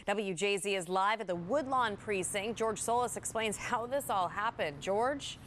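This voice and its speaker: Lady reading the tv news as anchor, average pitch, scripted. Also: moderate pace, a bit bright, slight background noise.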